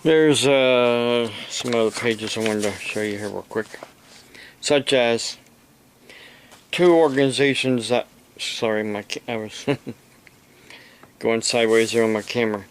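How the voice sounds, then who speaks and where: A man from the United States giving an unscripted explanation to camera, speaking haltingly in short phrases with long pauses between them. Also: medium pitch, a little hiss or hum, low-quality recording. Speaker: amateur radio operator and preacher making a video.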